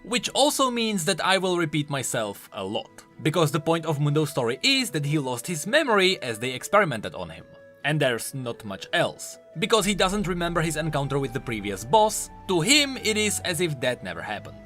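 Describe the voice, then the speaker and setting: Very animated, bright-voiced man narrating. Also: a little high, normal speed. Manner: emphatic, swooping delivery. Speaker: host of a game lore video channel. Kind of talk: scripted commentary narration. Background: background music.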